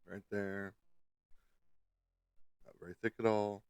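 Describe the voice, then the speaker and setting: A low-pitched man hosts a casual livestream in a close, dry sound. He is slightly stuffed up and talks to viewers in a playful, teasing way. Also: flat delivery, slow pace, darkish tone, clean tone, dry recording. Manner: playful, teasing, peppered with sung sound effects. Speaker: livestream host.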